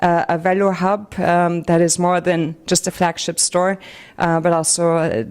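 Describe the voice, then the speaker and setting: A woman's voice: fairly low pitch, flat delivery, a little slow, darkish tone, clean tone. A woman co-founder presenting her company in a fluent, businesslike way, with occasional restarts. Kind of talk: pitch presentation.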